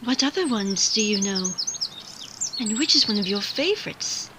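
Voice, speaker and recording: A woman's voice: mid-range voice, lively intonation, moderate pace, heavy background noise, natural voice. A woman playing an admiring, eager subject of a young prince in a fan audio drama. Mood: delighted.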